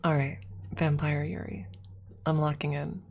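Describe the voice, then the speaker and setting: Low, husky woman chatting on stream. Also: flat delivery, unhurried, darkish tone. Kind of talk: casual ASMR livestream chat.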